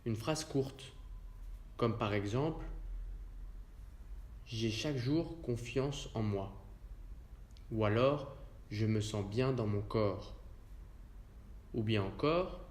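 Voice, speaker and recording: Slow-paced man guiding relaxation in echoey room. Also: on the low side. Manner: calm, measured delivery in short spaced phrases. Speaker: yoga nidra instructor. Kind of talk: guided relaxation instruction. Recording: roomy echo around the voice.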